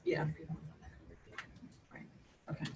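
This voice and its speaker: A woman from a local coalition asking a question in a group discussion, deliberately raising her voice and chatting. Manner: raises her voice on purpose, chatty. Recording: echoey room, far from mic, faint hum.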